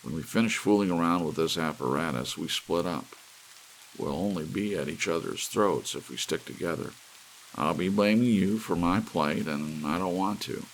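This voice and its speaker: A man reads as an earnest, excitable druggist in a sci-fi audiobook. The voice is deep and gravelly, given to exclamations as he fights despair, with a clear hiss and rain behind it. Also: normal speed, rough recording. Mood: resolute.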